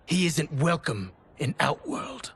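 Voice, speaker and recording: An actor playing a scornful, menacing turncoat soldier in a video game, with a gravelly voice and commanding threats. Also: male voice, on the high side, lively intonation, slow pace, a bit bright, heavily acted. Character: menacing, scornful male soldier, traitor to the throne. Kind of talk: dramatic video game cutscene acting.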